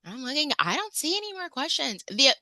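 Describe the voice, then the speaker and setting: Chatty webinar host woman, bright voice. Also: sits high, very animated, brisk, no echo, studio quality. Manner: chatty, lots of fillers and restarts. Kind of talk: casual online webinar hosting. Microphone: video call.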